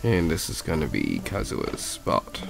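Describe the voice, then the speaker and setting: A man's voice: rumbling low, huge pitch swings, gravelly, music in the background. A man casually commenting on a video game as he plays, sounding sick and nasal with a stuffy cold. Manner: slow, chatty, talks to viewers while playing. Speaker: gaming YouTuber.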